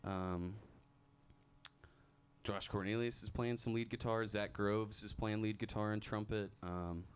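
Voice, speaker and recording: A man's voice: lowish voice, a little slow, clear, resonant tone. A male musician chatting casually in a live radio studio interview, reeling off his band members with an easy chuckle. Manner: relaxed, chuckling, reeling off names. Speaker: musician and bandleader. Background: band playing.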